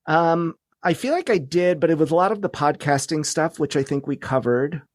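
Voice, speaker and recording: A man's voice: a little high, moderate pace, no echo, clean studio sound. A man talking informally as a podcast guest in a casual catch-up chat, phrasing things a little tentatively.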